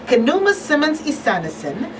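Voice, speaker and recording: A woman's voice: sits high, very animated, brisk, recorded from a distance. A woman hosting a live radio talk show. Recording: off-mic, noisy room.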